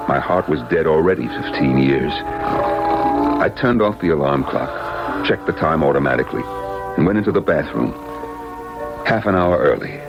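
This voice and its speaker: An actor playing a desperate, henpecked middle-aged husband in a vintage radio drama. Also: male voice, a bass voice. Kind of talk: 1970s radio drama acting, first-person narration. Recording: dull vintage radio recording, loud music.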